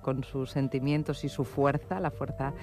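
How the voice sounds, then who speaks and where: A woman interviewing a writer, asking scripted questions in a measured, composed presenter manner. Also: rumbling low, level tone, mellow, faint music behind. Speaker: radio interviewer.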